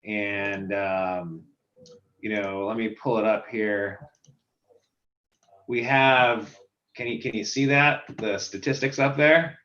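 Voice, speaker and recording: A man who runs a software company, explaining filing statistics in a casual video call chat. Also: lowish voice, steady tone, slow pace, long pauses, echoey room, dull audio. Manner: explanatory, walks through statistics.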